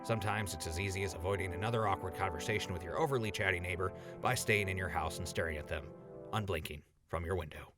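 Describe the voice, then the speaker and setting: A man's voice: sits low, fast, over music, lightly acted. A man playing a wry, needling but sensible ghoul in a post-apocalyptic audio drama, casual and sardonic.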